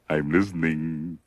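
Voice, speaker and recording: An actor playing a gleeful, menacing genie of the lamp in a theatrical fairy-tale production, speaking with mocking relish. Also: male voice, very deep voice, a little mellow, heavily acted. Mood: sly compliance.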